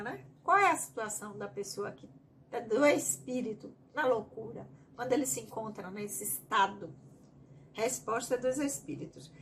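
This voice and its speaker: Higher-voiced Bahian woman leading study. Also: fairly bright tone, slight background noise. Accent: Bahian Brazilian Portuguese. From Bahia, Brazil. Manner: reads a question aloud, then explains it at length in her own words. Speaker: study group leader. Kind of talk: teaching a spiritist study group.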